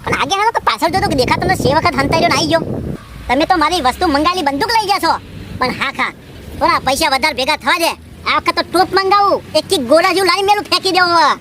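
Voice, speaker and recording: Man as squeaky, aggrieved would-be village gangster. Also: very fast, noisy background. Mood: aggrieved, scheming. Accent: rural North Gujarati. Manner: complaining, scolding. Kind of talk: cartoon comedy dialogue.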